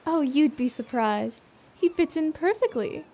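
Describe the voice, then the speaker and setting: Smug, worldly genie played by a woman, high voice, calm and formally teasing, for audio theater. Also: a little slow, slight background noise. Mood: serene, teasing.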